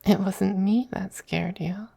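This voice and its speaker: A man voicing a dominant but tender femboy vampire queen in a soft, slow, whispery ASMR roleplay, doting on a pet with condescension. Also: sits high, steady tone, creaky, breathy edge, dry recording, studio quality, full character voice. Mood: soothing, curious.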